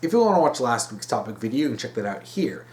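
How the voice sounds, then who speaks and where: A man's voice: mid-range voice, big pitch swings, fast, darkish tone. Man giving emphatic off-the-cuff commentary. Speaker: host of a weekly topic video series. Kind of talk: unscripted solo opinion commentary.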